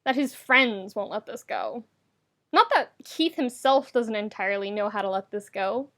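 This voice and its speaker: Higher-voiced woman reading a story expressively. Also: a bit bright. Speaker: podfic reader. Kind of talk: expressive narration of fan fiction audiobook.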